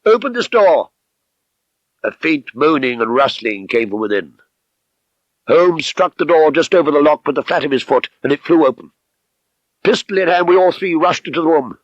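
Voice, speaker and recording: A man reading a mystery novel as an audiobook narrator, with measured, precise, dramatic literary delivery. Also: on the high side, no echo. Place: dry studio. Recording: slightly dull 1970s audiobook recording.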